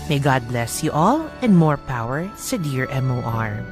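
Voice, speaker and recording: A woman's voice: extremely low pitch, very animated, a little slow. Woman radio co-host over light music. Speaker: radio co-host and letter reader. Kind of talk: letter reading and lively chat.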